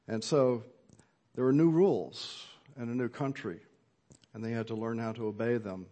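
A middle-aged man preaching an earnest sermon at a measured pace, with occasional fillers. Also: medium pitch, mellow. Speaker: pastor.

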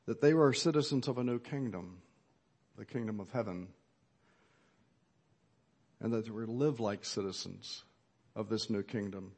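Man preaching an earnest, measured sermon. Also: on the low side, darkish tone, husky. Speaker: pastor.

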